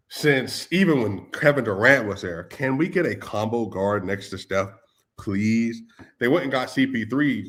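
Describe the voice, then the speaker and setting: A man in a casual sports chat with a middle-pitched voice. His talk is loose and conversational, full of "like", and he speaks to his listeners as "y'all". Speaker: podcast co-host.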